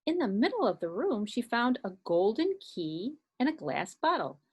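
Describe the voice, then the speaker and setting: A woman's voice: a little high, breathy edge. A woman, a children's librarian, telling a story with puppets for kids. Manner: animated, slow and expressive storytelling.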